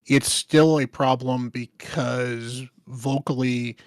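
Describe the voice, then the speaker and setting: Male streamer on a panel call: gravelly, strained voice at low volume, careful and earnest, dry mic. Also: fairly even intonation, takes it slowly, dry recording. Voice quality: rough, strained voice from a damaged vocal cord. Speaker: streamer and content creator. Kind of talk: casual panel chat.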